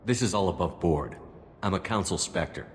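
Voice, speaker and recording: A commanding special agent in a video game, low-pitched and steady. He talks calmly and firmly in plain words, with long reverb and some ambient noise behind. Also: moderate pace. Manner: calm, firm, plain-spoken.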